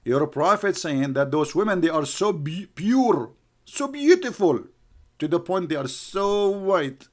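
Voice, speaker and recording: Guy ranting, mocking and sneering, kinda high voice. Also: lively intonation, a little slow. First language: Arabic. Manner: mocking, scornful, calls names. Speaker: online commentator. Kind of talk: heated argumentative commentary.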